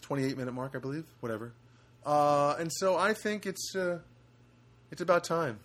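A man hosting a casual solo podcast from a studio apartment, rambling and halting with many fillers. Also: fairly high pitch, fairly even intonation, a bit bright. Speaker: podcast host.

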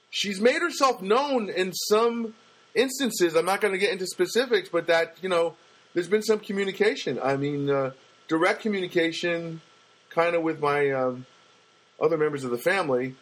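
A man with a booming voice telling a personal story in a casual monologue, halting often with fillers and restarts. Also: a bit bright. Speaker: storyteller recounting a personal loss.

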